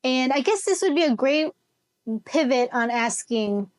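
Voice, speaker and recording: A woman's voice: sits high, animated, measured pace. A young woman from Chicago hosting a music podcast, upbeat and chatty as she interviews a guest. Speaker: podcast host. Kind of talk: casual interview chat.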